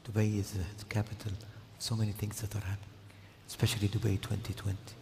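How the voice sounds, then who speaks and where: Deep gravelly older man in a hall. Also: level tone, dark tone, a little hiss or hum. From Jordan. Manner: slow and measured, with pauses. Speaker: guest speaker. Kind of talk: formal speech at an event. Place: large hall. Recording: echoey hall, heard from a distance.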